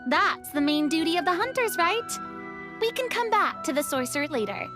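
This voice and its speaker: Very high-voiced girlish adventurer. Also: big pitch swings, normal speed, music in the background. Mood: eager. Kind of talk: anime-style video game voice acting.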